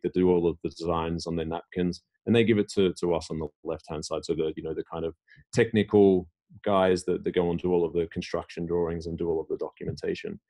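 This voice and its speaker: Deep-voiced middle-aged man, rambling. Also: darkish tone. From Australia. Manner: rambling, many fillers and restarts. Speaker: tech executive guest. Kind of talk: casual interview talk.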